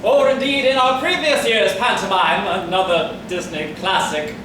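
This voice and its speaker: A man's voice: high-pitched, moderate pace. A man presenting a play to an audience in a formal, showy, comic manner. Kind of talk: theatrical comic introduction to audience. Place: stage in a hall, recorded from afar. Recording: echoey hall, distant mic, noisy.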